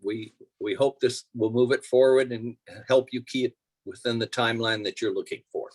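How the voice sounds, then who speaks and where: A man's voice: medium pitch, fairly even intonation, normal speed, a little mellow. A man chairing a public commission meeting, hesitant with ums and restarts.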